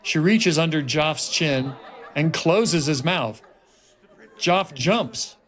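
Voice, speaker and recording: Higher-pitched man narrating a film script. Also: animated, slow pace, fairly bright tone. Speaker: narrator reading stage directions. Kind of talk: dramatic scripted narration at a table read. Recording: music laid clearly behind the voice. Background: music and sound effects.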